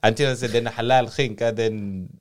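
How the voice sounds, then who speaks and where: A man who guests on a casual podcast, chatty and quick to laugh. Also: medium pitch, steady tone. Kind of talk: casual chat.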